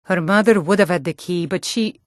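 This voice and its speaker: A woman acting a low, blunt fantasy guardswoman. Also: fairly quick, no room sound, studio quality, natural voice. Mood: weary, frank. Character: stern, world-weary female Nord city guard and townswoman. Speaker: video game voice actor. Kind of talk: fantasy game dialogue.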